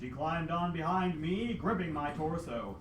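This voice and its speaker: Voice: mid-range voice, a little slow, lightly acted. An actor narrating as an earnest storybook adventurer in a live radio play, with a dramatic storytelling delivery. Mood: dramatic, stirring. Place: live theatre venue, recorded from a distance. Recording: roomy live stage recording, distant mic, noisy.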